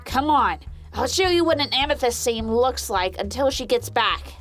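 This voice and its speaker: A woman playing a grumpy, foul-mouthed female pony quarry worker in a fan audio drama, gruff, irritated and blunt. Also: a little high, lively intonation, moderate pace, a bit bright, soft background music. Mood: curt, bossy.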